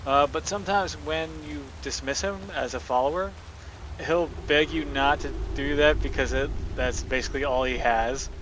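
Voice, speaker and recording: A man hosting a gaming video with casual, halting, unscripted commentary. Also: fairly high pitch, fairly bright tone. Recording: faint game noise behind voice. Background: video game ambience.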